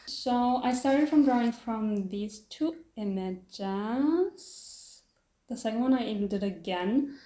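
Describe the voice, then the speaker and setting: A woman's voice: on the high side, dark tone, echoey room. A young woman with a German accent, a non-native English speaker, casually talking over her art process with a chatty, self-deprecating manner and little laughs. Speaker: artist vlogger.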